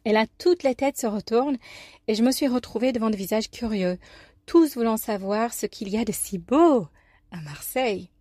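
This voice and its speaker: A woman with an American accent and a fairly high voice delivers a personal radio column. She tells her story in an expressive, deliberate way. The sound is dry and close. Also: no echo. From United States, New York. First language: English. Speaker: life coach presenting a radio column. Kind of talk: scripted personal talk, storytelling.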